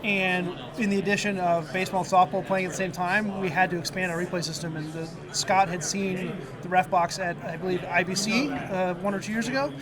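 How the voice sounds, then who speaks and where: Male interviewee, notably high voice, explaining his work amid clear crowd noise. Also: fairly even intonation, a little fast, few pauses. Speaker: university video production staffer, interviewee. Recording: busy crowd noise behind. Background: convention crowd chatter.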